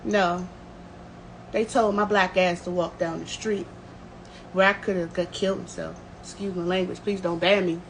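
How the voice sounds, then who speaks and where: A woman's voice: lowish voice, fairly even intonation, normal speed, low-quality recording. A woman telling a personal story on a livestream in a candid, emotional, emphatic way. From United States. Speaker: livestream host. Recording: clear background noise.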